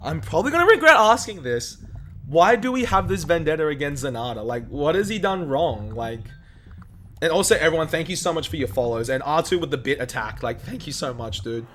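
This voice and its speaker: A man's voice: a little high, expressive, a little fast, fairly bright tone, slight background noise. A young Australian man giving chatty, casual livestream gaming commentary, calling viewers mate and dude. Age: young adult. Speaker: video game speedrun streamer.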